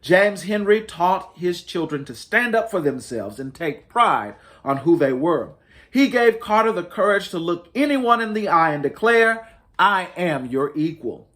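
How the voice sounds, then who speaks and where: A man reads a children's picture book aloud as a story-time host. His voice sits a little high for a man and swings widely in pitch. He stresses key words with dramatic emphasis. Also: very animated, a little slow, fairly bright tone. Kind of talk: expressive picture book read-aloud for families.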